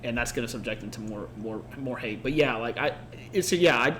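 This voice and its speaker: Podcast co-host, man from Mexico, mid-pitched, earnest and off the cuff, faint hiss behind. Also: lively intonation, brisk, a bit bright. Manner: earnest, thoughtful, many filler words. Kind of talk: casual unscripted conversation. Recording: faint background noise.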